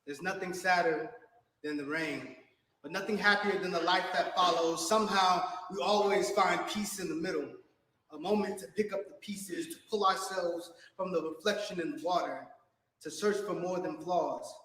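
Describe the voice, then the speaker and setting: A man performing spoken word poetry on stage in a hall, with dramatic, measured phrasing. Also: high-pitched, pushed and bright. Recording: echoey hall, distant from the mic.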